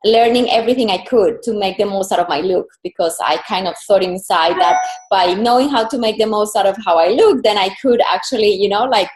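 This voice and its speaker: A woman with a Colombian Spanish accent talks as an interview guest, earnest and flowing, leaning on repeated phrases for emphasis. Her voice sits at a middle pitch. The room around her rings with echo. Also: a little fast. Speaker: personal brand and style coach.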